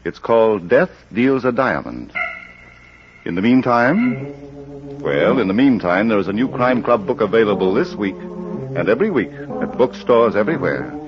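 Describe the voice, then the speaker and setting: A genial club librarian hosting a vintage radio mystery show. His voice is low and richly varied in pitch, courteous and theatrical, on a muffled old recording with light hiss. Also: sing-song, unhurried. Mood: genial, inviting. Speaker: host and announcer. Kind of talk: 1940s radio announcing, half of a phone call.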